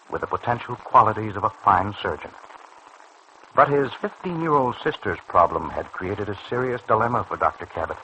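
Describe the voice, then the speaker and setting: A man's voice: fairly low pitch, bright, forceful tone. A man narrating a mid-century radio serial from a script, with formal, dramatic storytelling. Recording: faintly noisy old radio recording.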